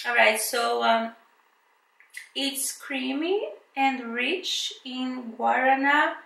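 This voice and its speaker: A woman's voice: high-pitched, extremely slow, creaky, pushed and bright, reverberant, distant mic, rough recording. A woman from Brazil with a thick Brazilian Portuguese accent, chatting casually and hesitantly as she unboxes and reviews beauty products, with many fillers and restarts. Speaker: beauty vlogger.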